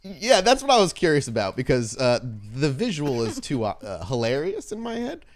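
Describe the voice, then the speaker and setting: A man's voice: mid-range voice, huge pitch swings, moderate pace. Man casually running a tabletop game. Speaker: game master.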